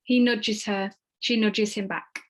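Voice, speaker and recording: A woman's voice: medium pitch, fairly even intonation, takes it slowly. A woman reading stage directions as scripted narration for a table read.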